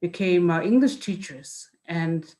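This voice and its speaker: A woman whose first language is Mizo speaks in a somewhat low voice over a video call. She introduces herself in a lecture, pausing often with fillers and restarts. Her delivery is unhurried and thoughtful. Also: dark tone. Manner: hesitant, many fillers and restarts. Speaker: guest speaker, linguist.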